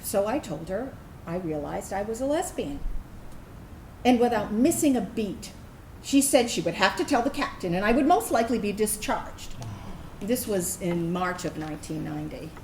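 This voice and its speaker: A woman's voice: mid-range voice, lively intonation, normal speed, darkish tone, natural voice. Actress as earnest female ex-Navy officer, reflective stage monologue, echoey room, faint noise. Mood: earnest, resolute. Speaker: actor reading a veteran's personal narrative. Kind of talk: staged reading of a personal monologue. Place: indoor room, staged reading.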